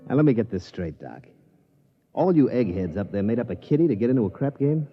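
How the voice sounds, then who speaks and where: A man's voice: medium pitch, big pitch swings, brisk, soft background music, close to an everyday voice. An actor playing a streetwise male casino tout who talks in slang in a 1950s radio drama. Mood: wheedling. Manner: slangy, conspiratorial tough talk. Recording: muffled vintage radio recording.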